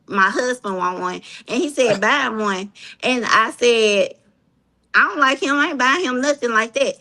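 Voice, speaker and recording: A woman's voice: a little high, sharp tone, low-quality recording. A woman from Mississippi with a Southern accent, telling a story emphatically on a livestream panel, repeating lines for emphasis.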